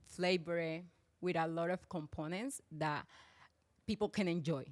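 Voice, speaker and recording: A woman's voice: lowish voice, measured pace. A young woman with a Colombian Spanish accent, a native Spanish speaker, talking earnestly as a guest in English with small grammar slips. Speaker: guest, drink business owner. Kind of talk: casual interview.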